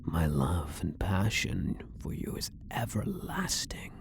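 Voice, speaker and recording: A man's voice: low-pitched, wildly swooping pitch, vocal fry, exaggerated voice. A man voicing a possessive, coy elf prisoner in an intimate ASMR roleplay, teasing and devoted, sometimes dropping toward a whisper. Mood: obsessive, adoring. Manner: teasing, slow, lilting delivery dropping into whispers.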